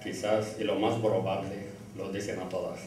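A man's voice: low-pitched, level tone, normal speed, far from the mic, heavy background noise. A middle-aged man giving a formal graduation speech, reading from notes at a lectern in a classroom. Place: indoor classroom, standing at a lectern. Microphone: lectern mic, filmed by a far camera. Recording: echoey room heard from afar.

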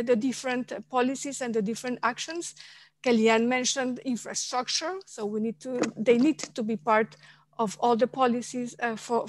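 Halting higher-voiced woman moderating a panel. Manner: halting, many fillers and repeated words. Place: home office, indoors. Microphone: headset on a video call.

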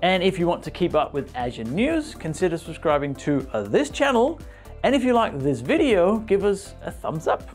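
Guy in his 40s hosting tech news, speaking english, really lively pitch, bit of wry humour, music under it. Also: moderate pace. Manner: animated, playfully wry. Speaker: host. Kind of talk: scripted tech news presenting to camera. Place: small indoor studio. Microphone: lapel mic.

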